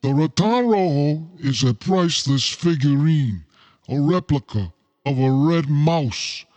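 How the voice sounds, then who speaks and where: A man's voice: fairly high pitch, expressive, a little slow, a little mellow. A man playing a scheming crime boss in a noir radio play, formal and genteel with an edge of menace. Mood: smug, confiding. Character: suave scheming crime boss Fat Cat, noir villain.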